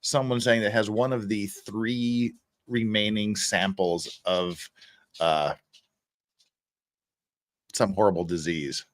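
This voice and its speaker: A man hosting a livestream in casual chat, halting and tentative as he thinks aloud. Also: fairly low pitch, steady tone, dry recording. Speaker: livestream host.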